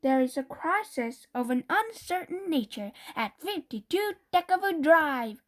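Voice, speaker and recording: A woman voicing a bossy, opinionated older sister who shouts slowly and emphatically. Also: high-pitched, lively intonation, voice pushed up.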